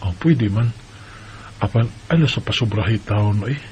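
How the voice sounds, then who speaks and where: An actor playing a devoted, playful young husband in a sentimental radio drama, tender and earnest. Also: male voice, fairly low pitch, expressive, normal speed, darkish tone, a little hiss or hum, natural voice. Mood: tender, teasing. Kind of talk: sentimental radio drama acting with first-person narration. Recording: dull lo-fi radio sound with music.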